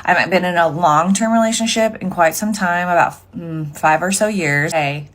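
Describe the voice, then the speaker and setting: A woman in her thirties with a low voice talks straight to camera. She is halting and unhurried, filling gaps with ums and likes as she searches for words. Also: a bit bright. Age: 30s. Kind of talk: casual video confessional.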